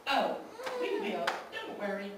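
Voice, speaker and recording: A woman's voice: medium pitch, wildly swooping pitch, a little slow. A woman voicing a scheming royal advisor in a church puppet show, gushing and fawning with a sly edge. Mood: gloating. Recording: echoey hall from far off, noisy.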